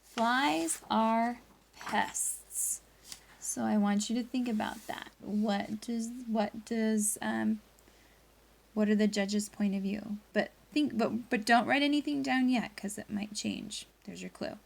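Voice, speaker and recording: A woman's voice: mid-range voice, steady tone, measured pace, gravelly. A woman teacher leading a read-aloud lesson for pupils, reading expressively and guiding them through a story. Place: indoors at a laptop.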